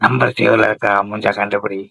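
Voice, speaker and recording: Gravelly, husky man on a prank call. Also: fairly low pitch, little pitch movement, fairly quick, bright, forceful tone. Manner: evasive, wisecracking replies. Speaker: prank caller. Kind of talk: teasing prank phone call in casual slang.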